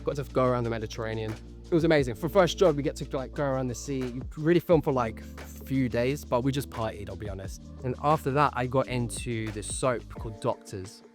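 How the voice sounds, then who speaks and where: A young actor chatting on a podcast, candid and quick to poke fun at himself. His voice sits at an ordinary middle pitch for a man, with faint music behind him. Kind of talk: casual podcast chat.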